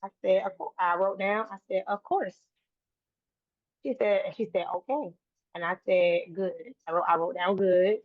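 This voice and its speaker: A woman's voice: medium pitch, fairly even intonation, moderate pace, no echo. Earnest woman over a tinny line. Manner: earnest, repeats and restarts phrases, faith-filled. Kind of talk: casual interview conversation. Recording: dry, tinny phone-like line.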